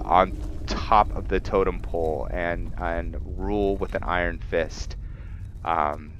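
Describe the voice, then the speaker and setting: Low-voiced man, dull noisy recording. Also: a bit bright. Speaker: gameplay video host. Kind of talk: casual gameplay commentary with roleplay narration. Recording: dull lo-fi mic, noisy background.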